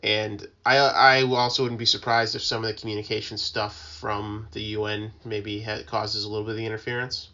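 A man explaining things as an interview guest in an informal, halting, conversational way. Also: on the low side, flat delivery, bright, forceful tone, highs cut off. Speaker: interview guest, working tugboat mariner.

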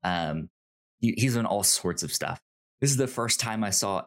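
A man co-hosting a podcast, chatting casually about television in a halting way, often repeating words and stammering over them. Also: on the low side, lively intonation. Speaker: podcast co-host. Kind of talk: casual conversational TV show discussion.

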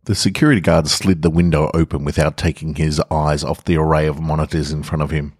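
Very deep male storyteller, close and dry. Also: little pitch movement, brisk, darkish tone, breathy edge, no echo. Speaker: podcast host and story reader. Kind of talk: scripted story narration with casual intros.

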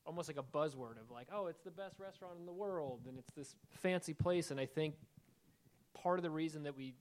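A man answering on a stage panel, relaxed and loose, with many fillers like "like". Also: fairly high pitch, a little fast. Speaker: TV writer and director on a panel. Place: event stage before an audience.

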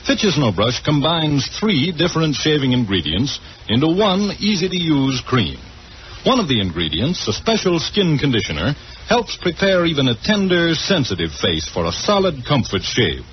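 Animated, higher-pitched man in vintage radio advertising. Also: normal speed, slight background noise. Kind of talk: 1940s scripted radio advertising. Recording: dull old radio transcription recording.

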